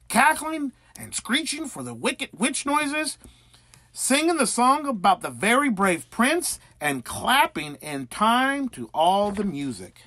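A male children's narrator with a high, slightly husky voice that swings widely in pitch. He reads picture-book prose theatrically and takes his time over each phrase. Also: bright, forceful tone, no room sound. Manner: theatrical, exaggerated, deliberate delivery. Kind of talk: animated scripted picture-book reading.

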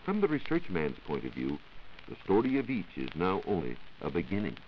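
Man, animated intonation, formal explanatory wording, 1940s radio science reporter, dull noisy old recording. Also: deep voice, a little fast. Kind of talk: 1940s radio science talk, scripted answers.

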